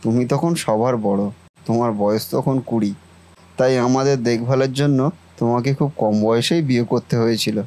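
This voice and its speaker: A man's voice: mid-range voice, a little mellow, natural voice. A man voicing a devoted, indignant brother in a cartoon family melodrama, speaking earnestly and declaiming.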